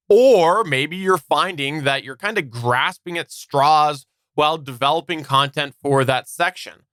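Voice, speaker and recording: A man's voice: fairly high pitch, sharp tone, dry recording. A man co-hosting a podcast, explaining points in a structured, semi-scripted way. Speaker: podcast co-host.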